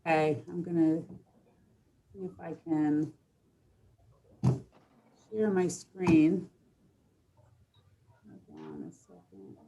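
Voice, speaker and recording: A woman giving an informal planning update to a board on a video call, halting and matter-of-fact. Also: low voice, big pitch swings, noisy background. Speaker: village planner briefing a board. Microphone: video call microphone.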